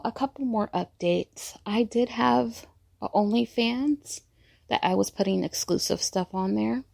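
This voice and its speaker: A woman's voice: medium pitch, creaky, mellow, dry recording, clean studio sound. A woman hosting her own poetry podcast, speaking in an intimate, personal way. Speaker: podcast host and poet. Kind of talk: intimate spoken-word poetry reading and casual podcast chat.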